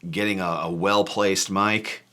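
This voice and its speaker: A man from a band who jokes around and tells the story of arranging a song in an animated, playful way. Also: low voice, a little slow. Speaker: band member discussing a song. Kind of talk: casual conversational chat.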